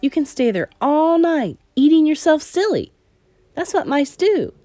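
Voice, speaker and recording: A woman's voice: high voice, big pitch swings, a little slow, dark tone, no room sound, soft background music, voice pushed up. A woman voicing an earnest, sensible young boy turned mouse, matter-of-fact and reassuring, in a storybook reading. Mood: coaxing.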